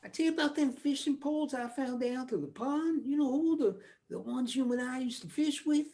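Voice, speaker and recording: A man acting out a gentle country coal miner in a stage play. His Appalachian voice is full of gravelly creak. He speaks in a folksy, hesitant, coaxing way, protective of his kin. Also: very high-pitched, normal speed.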